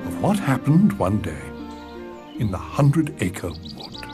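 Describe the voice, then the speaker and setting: A genial cartoon storybook narrator, playful and theatrical. The delivery is slow, with enormous swings in pitch. Music runs clearly underneath. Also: sing-song, heavily acted. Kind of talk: scripted children's story narration.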